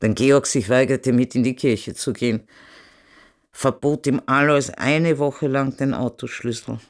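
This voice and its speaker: A man in a radio play voicing a melancholy family member who recounts the past in a plain, subdued, deliberate way. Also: mid-range voice, steady tone, lightly acted. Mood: wistful. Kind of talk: scripted radio play narration.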